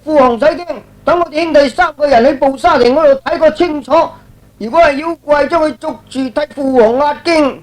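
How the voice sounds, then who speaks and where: A man voicing a brash, excitable young prince in a Cantonese radio drama, cocky and eager. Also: very high voice, a little fast, voice pushed up, rough recording. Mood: eager, respectful.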